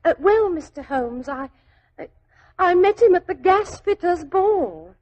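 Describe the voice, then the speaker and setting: An actress playing a naive, devoted young typist, anxious, chatty and deferential, in 1940s radio style. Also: female voice, extremely high pitch, animated, highs cut off. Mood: wistful, chatty. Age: young adult. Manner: deferential, chatty, halting when flustered. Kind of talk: 1940s radio drama acting. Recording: old 1940s radio drama recording.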